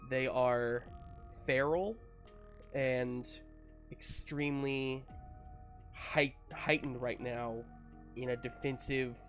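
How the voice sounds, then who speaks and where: A man runs a tabletop roleplaying game as game master. His voice sits at a middle pitch, and he improvises narration casually, often searching for words. Faint music plays behind him. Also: steady tone, slow, long pauses, a bit bright.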